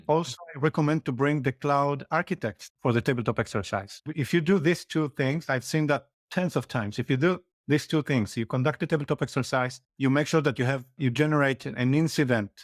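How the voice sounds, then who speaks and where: A man from Argentina, a guest on a podcast, explaining his points in a measured, step-by-step way. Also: medium pitch, no room sound, clean studio sound. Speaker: interview guest, security executive. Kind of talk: casual interview chat.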